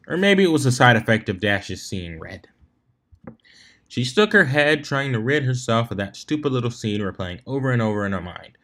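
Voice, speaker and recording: A man narrating fan fiction in a storybook reading style. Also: medium pitch.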